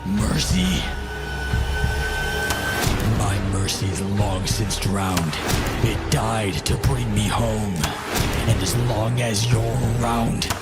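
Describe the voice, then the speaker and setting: A man playing a vengeful, hate-filled king and father in a musical, with menacing, drawn-out, emphatic delivery. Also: lowish voice, fairly even intonation. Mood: cold menace. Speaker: musical theatre singer. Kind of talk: dramatic sung musical theatre. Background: loud orchestral music.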